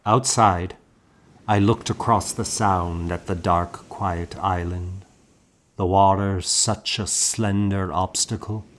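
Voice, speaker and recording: A man reading a historical novel aloud in a measured, solemn, first-person storytelling manner. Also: low-pitched, fairly even intonation. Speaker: audiobook narrator.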